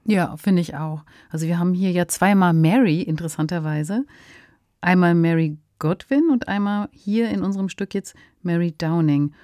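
A woman's voice: on the low side, moderate pace, mellow, no echo, clean studio sound. A woman, co-hosting a podcast in a conversational, discursive style. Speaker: podcast co-host. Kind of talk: conversational radio drama discussion.

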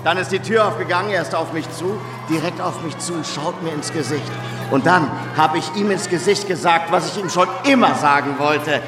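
A man's voice: a little high, normal speed, lightly acted. Man playing a boastful street revolutionary, distant, echoey. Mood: excited, boastful. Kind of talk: radio play acting. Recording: distant, echoey hall, loud music behind.

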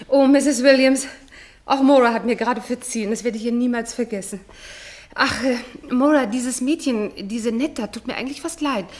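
An actress playing an effusive, anxious, insinuating family friend in a radio drama, theatrical and often dropping to a breathy hush. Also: female voice, on the high side, normal speed, natural voice. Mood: musing, insinuating.

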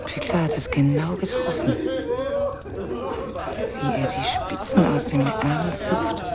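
An actress playing a quiet, watchful young woman in a radio drama, speaking an intimate inner monologue in short sentences. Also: low voice, animated, unhurried. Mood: wistful, watchful. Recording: loud crowd noise behind the voice. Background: loud crowd.

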